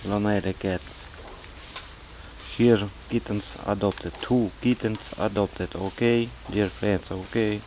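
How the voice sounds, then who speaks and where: Slow, low-voiced man in rain. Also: fairly even intonation, darkish tone, poor audio. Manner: repetitive short phrases, simple broken English. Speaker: vlogger feeding a stray cat. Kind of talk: casual narration while filming. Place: outdoors in a park on a rainy day. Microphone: camera-mounted mic, first-person filming. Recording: faint rain noise outdoors.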